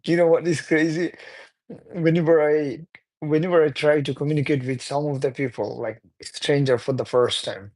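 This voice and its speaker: Chatty man with a higher voice. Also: steady tone, moderate pace, a little mellow. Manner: chatty, laughs often, non-native phrasing. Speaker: livestreamer. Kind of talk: casual live-stream chat reading viewer comments.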